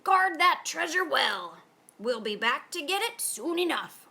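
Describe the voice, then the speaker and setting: A woman's voice: high-pitched, sing-song, a little slow, pushed and bright, pitched above the performer's own voice, exaggerated voice. A woman voicing a hearty, bossy male pirate captain, bellowing orders in storybook pirate talk.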